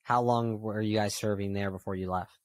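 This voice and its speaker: A man's voice: lowish voice, steady tone, a little fast. A man hosting a podcast from a studio mic, prompting his guest with friendly questions. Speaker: podcast host. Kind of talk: casual interview. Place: studio with lit panels. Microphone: studio boom mic.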